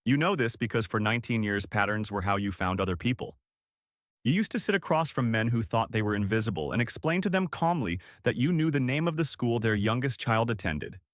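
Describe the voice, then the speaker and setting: A man narrating a scripted second-person story in measured, clipped short sentences. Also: mid-range voice, animated, dry recording, studio quality.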